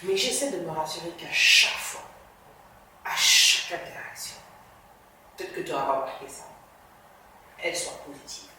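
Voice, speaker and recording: An earnest woman speaks as a guest on an interview podcast, reflective and encouraging. Her phrases often fade to a soft close. The sound is heavily reverberant and a little distant, with faint hiss underneath. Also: lowish voice, lively intonation, normal speed, long pauses. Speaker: guest, television journalist. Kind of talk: motivational interview talk. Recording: reverberant room, distant mic.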